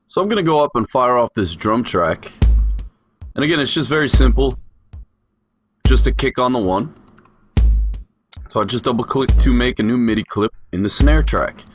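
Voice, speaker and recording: A man's voice: medium pitch, expressive, normal speed, pauses often. A man giving an explanatory voice-over tutorial as a music production instructor.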